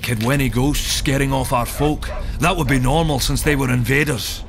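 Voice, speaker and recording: An actor playing a seasoned dwarf scout in a video game, telling things in a dry, knowing, measured way. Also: male voice, medium pitch, steady tone, gravelly, close to an everyday voice. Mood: wry. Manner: wry, measured storytelling. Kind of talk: video game cutscene acting. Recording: music behind the voice.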